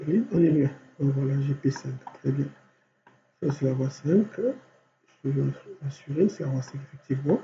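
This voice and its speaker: A man with a cold teaching design software step by step, thinking aloud and filling gaps with constant voilàs. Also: mid-range voice, normal speed, vocal fry. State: has a cold. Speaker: software instructor. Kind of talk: screen-recorded software tutorial. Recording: dull lo-fi recording with faint hum.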